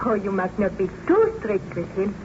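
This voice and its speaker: An actress playing a baron's gentle, anxious beloved in old-time radio drama, with formal, solicitous delivery on an old radio recording. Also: female voice, mid-range voice, big pitch swings, moderate pace, muffled recording, background noise, close to an everyday voice. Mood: solicitous, firm.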